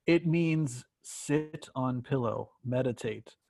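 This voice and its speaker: A man playing a polite, eager-to-serve talking smart table in a play reading, with a halting, courteous manner. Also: mid-range voice, vocal fry, darkish tone, no echo. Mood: firm.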